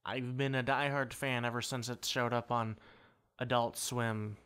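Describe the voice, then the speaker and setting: Smooth-voiced young man, hesitant. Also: mid-range voice, fairly even intonation, a little slow, a bit bright. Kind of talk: casual interview answer.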